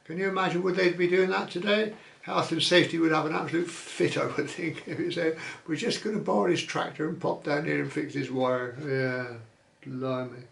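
A man hosting a vlog, reading viewer comments aloud and musing on them in an easygoing way, often pausing with "uh". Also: fairly high pitch, fairly even intonation, gravelly, a bit bright, reverberant, a little hiss or hum. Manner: chatty, musing aloud, many "uh" fillers. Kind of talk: casual chat.

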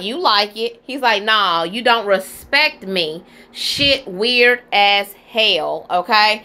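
A woman's voice: on the high side, pushed and bright. A woman giving casual reaction commentary on gossip, sassy and exclamatory, talking straight to her listeners.

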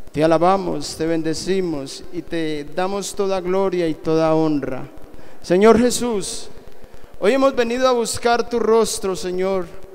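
A man's voice: high voice, darkish tone, clean tone. A middle-aged man preaching and praying fervently into a handheld microphone in a small church hall, with emphatic, repetitive phrasing. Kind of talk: Pentecostal-style sermon with prayer. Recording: echoey hall reverb.

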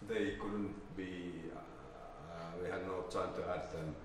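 Slow, low-voiced man in an echoey room. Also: expressive, creaky. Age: middle-aged. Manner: halting, hesitant delivery. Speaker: presenter at a project seminar. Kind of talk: informal presentation with slide demonstration. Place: indoor seminar room with projector screen. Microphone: distant camera microphone. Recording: roomy, echoey, distant mic, faint noise.

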